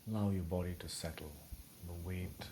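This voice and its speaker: Guy doing a guided meditation, really deep voice, soothing, noticeable ambient noise behind him. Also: fairly even intonation, warm tone. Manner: soothing, unhurried, with long pauses between phrases. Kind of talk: slow, gentle guided meditation.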